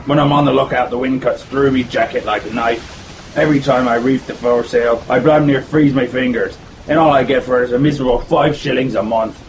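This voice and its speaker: A man voicing a grumbling old-salt able seaman with a slow, complaining drawl. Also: medium pitch, fairly even intonation, a little hiss or hum, close to an everyday voice, poor audio.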